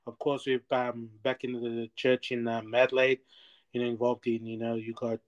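A man hosting a podcast interview, lively and chatty, often repeating "amen" and filling his talk with restarts. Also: mid-range voice, moderate pace, muffled recording. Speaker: podcast host.

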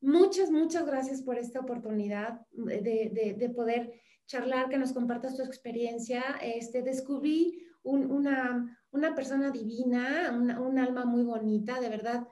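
Mexican lady host, kinda high voice, lots of ums and restarts, echoey room. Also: mellow. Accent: Mexican Spanish. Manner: halting, many fillers and restarts; effusive, gushing thanks. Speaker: interview host. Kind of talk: casual interview chat.

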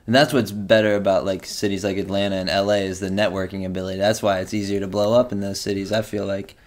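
A man's voice: low voice, flat delivery, fast. A man hosting a casual interview, friendly and affirming, dropping "bro" and "you know what I mean". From rural area. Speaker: interviewer, host.

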